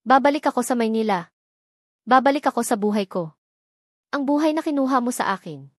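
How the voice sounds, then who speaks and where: Storybook part: a trapped young bride, angry and defiant, straining to keep her voice steady, read by a woman narrator, dry close sound. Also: on the high side, brisk, dry recording. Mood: defiant anger.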